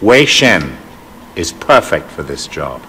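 An actor playing a stern, middle-aged police superintendent in a video game, speaking formally and deliberately with authority. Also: male voice, deep voice, sing-song, noisy background, exaggerated voice. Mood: cool, commanding. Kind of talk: video game cutscene acting. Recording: long echoing room reverb.

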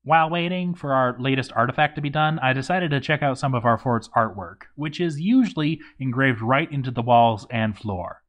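A man reading a game story aloud as dramatic narration, with theatrical emphasis and deliberate pauses. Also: mid-range voice, a bit bright.

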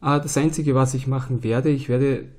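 A man's voice: medium pitch, normal speed. A man in his thirties with an Austrian accent and a cold, chatting to camera as a board game channel host in a small room at home. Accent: Austrian German. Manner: relaxed and chatty, with many filler "ja"s and "ähm"s. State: has a cold. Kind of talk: casual talk to camera.

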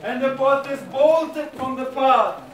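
A man's voice: extremely high pitch, slow pace, fairly bright tone, slight background noise. A young man reading the narration of a story as a student in readers theatre. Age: young adult. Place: large lecture hall, far camera in audience. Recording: very echoey lecture hall, distant, audience murmur.

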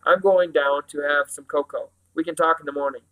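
A man's voice: on the high side, steady tone, fairly quick, bright, forceful tone, rough recording. A man voicing a weary, skeptical boy, an older brother with short, dismissive answers.

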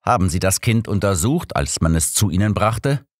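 Man voicing an elderly, probing gentleman detective. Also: sits low, lively intonation, no room sound, clean studio sound, voice pushed up. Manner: formal, measured questioning. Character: elderly male detective, courteous, probing, composed. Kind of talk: audiobook character dialogue.

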